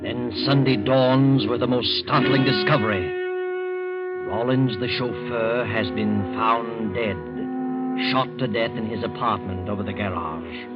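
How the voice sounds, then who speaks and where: A man's voice: medium pitch, a little slow, natural voice. A man narrating an old-time radio drama in a dramatic, portentous style. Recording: muffled old radio recording. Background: loud music.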